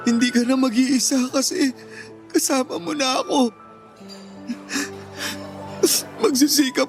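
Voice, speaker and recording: A man playing a young adult younger brother in a radio drama, soft-spoken and earnest. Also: very high-pitched, vocal fry, exaggerated voice. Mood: grateful. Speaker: younger sibling. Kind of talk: Tagalog radio drama acting. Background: soft background music.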